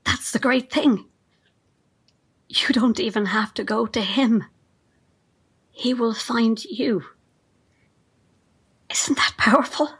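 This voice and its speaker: Husky, menacing cult devotee. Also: female voice, a little high, a bit bright, full character voice. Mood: rapturous awe. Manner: measured, veiled threats, reverent. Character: fanatical female cult assistant, calmly menacing, rapturously devoted. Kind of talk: audio drama dialogue.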